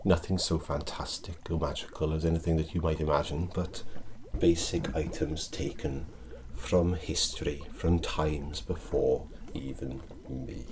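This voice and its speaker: A man with a Welsh accent playing a sinister, pompous Elizabethan alchemist in a hushed, deliberate ASMR roleplay. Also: very deep voice. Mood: measured, secretive. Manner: archaic, pompous, deliberate phrasing. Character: wretched, sinister Elizabethan male alchemist, grandly mystical. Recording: light steady electrical background noise. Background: liquid sounds and clicks.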